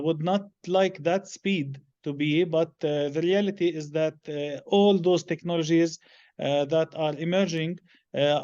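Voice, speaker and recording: Male interview guest, middle-aged, Lebanese accent, halting delivery, dull audio. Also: fairly high pitch, darkish tone, smooth. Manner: halting, measured phrasing with many hesitations. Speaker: interview guest, business executive. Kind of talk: unscripted interview answers.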